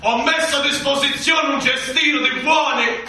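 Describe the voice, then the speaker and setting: A man's voice: very high-pitched, steady tone, heavily acted. A man playing a buffoonish small-town politician, declaiming pompously in satirical stage oratory. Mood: bombastic. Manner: pompous, shouted, drawn-out declamation. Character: bombastic male small-town politician haranguing citizens, buffoonish demagogue. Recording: echoey hall from far off, faint noise.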